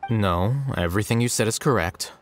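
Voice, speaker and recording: A man's voice: fairly low pitch, lightly acted. Dry, analytical young scholar played by a man. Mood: matter-of-fact. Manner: measured, formal, dry. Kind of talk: video game voice acting. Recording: music behind the voice.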